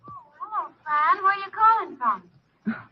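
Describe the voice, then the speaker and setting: An actress playing a brisk, concerned nightclub friend talking on the telephone in a 1940s radio drama. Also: female voice, very high voice, sing-song, full character voice. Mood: puzzled. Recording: dull, muffled vintage radio recording.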